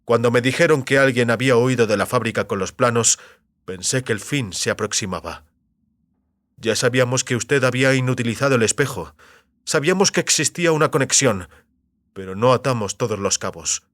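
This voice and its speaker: A man voicing an aging company boss, wary and weary, with formal, measured speech that can turn startled, in an audio drama. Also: mid-range voice, fairly bright tone, dry recording, clean studio sound, natural voice. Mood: weary, resigned. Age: older.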